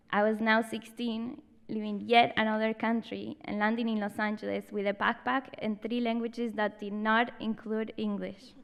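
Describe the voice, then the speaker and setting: A woman with an Argentinian Spanish accent shares a personal essay on a theatre stage. Her voice sits a little high and comes through smooth and resonant, with a long reverb trailing in the hall. She reads in a measured, reflective way and leans on emphatic pauses. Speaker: storyteller.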